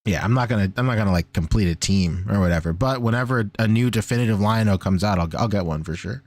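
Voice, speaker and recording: A man in his thirties chatting casually as a podcast co-host, joking, slangy and quick to swear. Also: fairly low pitch, darkish tone, no room sound. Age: 30s. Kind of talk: casual chat about toys and cartoons.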